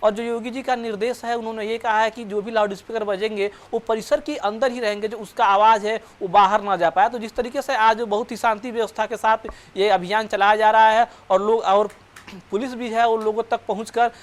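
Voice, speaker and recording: A man reporting news live and explaining unscripted, in a halting way, often repeating and correcting his words. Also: high-pitched, fairly even intonation.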